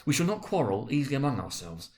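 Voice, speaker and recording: Storybook father, played by a man: tender, eager, grieving, halting speech, highly animated pitch. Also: lightly acted. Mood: tender, earnest. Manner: halting, stammering with repeated words. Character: Bob Cratchit, tender, grieving, eager working-class father. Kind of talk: dramatic storybook reading.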